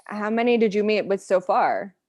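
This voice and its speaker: Woman playing skeptical, reproachful friend, mid-pitched with lively intonation, frank table-read drama. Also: normal speed, close to an everyday voice. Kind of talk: live table read of a screenplay.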